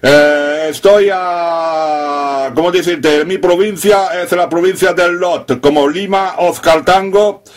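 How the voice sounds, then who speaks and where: A man's voice: on the high side, slow. A man working as an amateur radio operator, talking in call signs and phonetic spelling during a contact.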